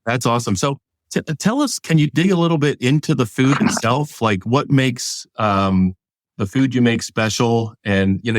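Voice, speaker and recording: A man's voice: on the low side, dry recording. A man hosting an interview, asking friendly follow-up questions. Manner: encouraging, asks follow-up questions. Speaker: host. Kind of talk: casual interview talk.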